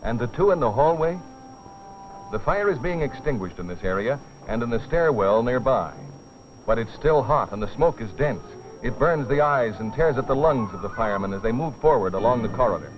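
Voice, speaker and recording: A man's voice: mid-range voice, lively intonation, fairly quick. A man delivering dramatic, solemn scripted narration for an educational film, with rhetorical weight. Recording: dull, dated film soundtrack with music.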